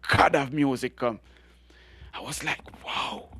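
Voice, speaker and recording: A middle-aged Jamaican man with a deep voice, telling animated stories as a guest in an interview. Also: moderate pace, gravelly, slightly rough. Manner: animated storytelling with frequent laughs and restarts. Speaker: guest reggae artist. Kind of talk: storytelling interview.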